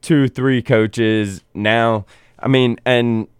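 A male radio host interviewing a coach, speaking haltingly with many restarts. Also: mid-range voice, steady tone, clean tone, dry recording, studio quality. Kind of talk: casual interview.

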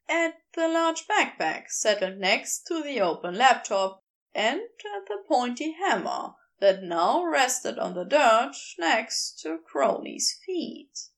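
Fiction narrator: woman, unhurried, with vocal fry, reading a scripted story expressively. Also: high-pitched. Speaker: podfic narrator.

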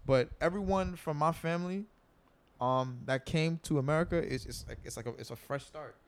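A man's voice: on the high side, moderate pace. A young man in casual group talk, explaining at length with many fillers and restarts. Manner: animated, lots of filler words and restarts.

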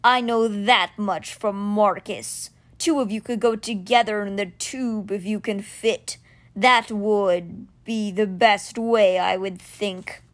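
A woman voicing a huge male stone cat guardian, speaking slowly in a low, measured, earnest way. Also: steady tone, lightly acted.

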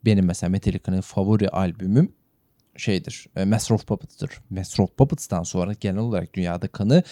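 A man's voice: deep voice, fast talker, warm tone, no echo, clean studio sound. A man co-hosting a podcast, discussing and arguing points in a casual, conversational way. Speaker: podcast co-host. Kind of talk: casual chat, music discussion.

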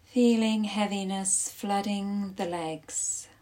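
A woman leading a guided yoga nidra class as instructor, speaking soothingly in short instructive phrases with long pauses. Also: mid-range voice, steady tone, dark tone.

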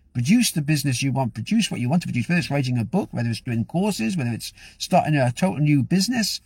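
A man's voice: a little high, a little mellow, breathy edge, dry recording. A man giving an upbeat, rambling motivational talk as host of his own show. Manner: upbeat, rambling with frequent restarts. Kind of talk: casual motivational talk to camera.

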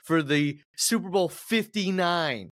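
A man's voice: high voice, slow pace, fairly bright tone, dry recording. A man hosting a podcast in casual sports chat, talking emphatically, repeating words and swearing casually. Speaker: podcast host.

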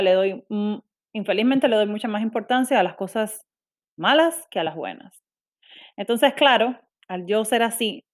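A young woman from Cuba with a Cuban accent, speaking candidly and self-critically in a personal monologue, with frequent restarts. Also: mid-range voice. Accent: Cuban Spanish.

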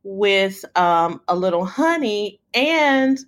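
A woman hosting a casual podcast, laughing often, with a slightly hoarse throat. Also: medium pitch, animated, takes it slowly. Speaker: podcast host. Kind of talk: casual chat.

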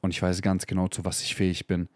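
A man's voice: sits low, completely flat delivery, quick pace, no echo, clean studio sound. A man in his thirties hosting a motivational podcast, talking emphatically and profanely and mixing in English slang. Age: 30s. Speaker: podcast host.

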